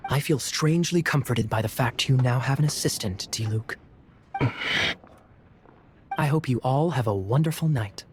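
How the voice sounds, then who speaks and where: A man's voice: medium pitch, normal speed, long pauses, slight background noise, natural voice. Man playing a sly, teasing young knight. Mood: wry. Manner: smooth, teasing, theatrical. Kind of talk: video game voice acting.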